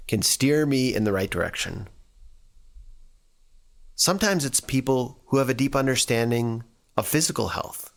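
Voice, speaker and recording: A man reading scripture and reflecting on it as a church devotional, speaking earnestly in deliberate, short phrases. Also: mid-range voice. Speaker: church staff member giving a devotional.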